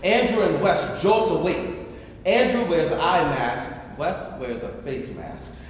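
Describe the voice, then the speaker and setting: A man's voice: on the high side, sing-song, unhurried. A man hosting a stage event, speaking to an audience with an enthusiastic, theatrical delivery. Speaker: host. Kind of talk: addressing a theater audience, then reading narration. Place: large reverberant indoor venue. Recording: echoey hall, distant, faint noise.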